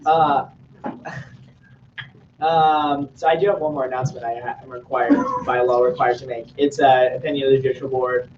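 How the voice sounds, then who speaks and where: A man's voice: medium pitch, fairly even intonation, normal speed, darkish tone. Young man, student officer, joking, roomy echo, noisy background. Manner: joking, loose, filler-heavy. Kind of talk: casual meeting chat and announcements. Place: meeting room. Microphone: room mic from afar. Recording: distant, roomy, dull, noisy.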